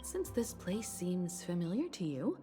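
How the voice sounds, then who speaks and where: A woman voicing a calm, kindly young companion with a gentle, reassuring manner, in scripted video game acting. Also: on the low side, measured pace, faint music behind.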